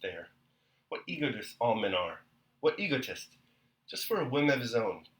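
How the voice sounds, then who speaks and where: A man's voice: mid-range voice, sing-song, normal speed, fairly bright tone. A man voicing a petulant young pregnant princess who complains and pleads tearfully. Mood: querulous. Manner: querulous, pleading.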